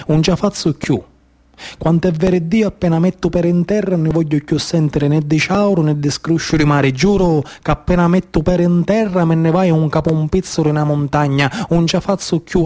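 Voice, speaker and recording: A man's voice: on the high side, dark tone, natural voice. A man playing a desperate, exhausted migrant adrift at sea, with a Sicilian accent and urgent, repetitive phrasing, in a theatrical radio monologue. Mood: weary, exasperated.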